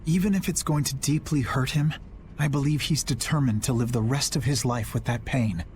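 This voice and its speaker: An actor playing an earnest young male knight in a video game, speaking calmly and sincerely. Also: medium pitch, a little hiss or hum, natural voice. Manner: calm, sincere, measured.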